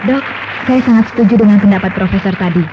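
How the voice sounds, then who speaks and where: A woman's voice: mid-range voice, fast talker, crowd noise, close to an everyday voice. An actress playing a keen woman persuasively explaining a medicine in scripted radio advert dialogue. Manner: emphatic, persuasive. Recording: dull lo-fi radio, faint noise.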